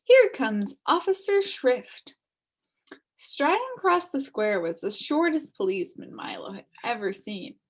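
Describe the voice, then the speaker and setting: Animated, high-pitched woman reading a story. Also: slightly rough. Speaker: storybook reader. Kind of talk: expressive children's story narration.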